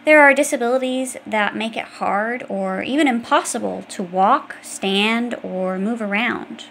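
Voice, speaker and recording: A woman's voice: a little high, a little slow, fairly bright tone, slight background noise. A woman reading an educational children's book aloud, explaining things directly to young listeners. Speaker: audiobook reader.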